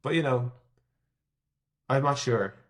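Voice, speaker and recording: A man's voice: mid-range voice, slow pace. A man with an Irish accent giving conversational interview answers as a tech executive, candid and thinking aloud as he hedges his predictions. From Ireland. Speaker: tech executive, podcast guest.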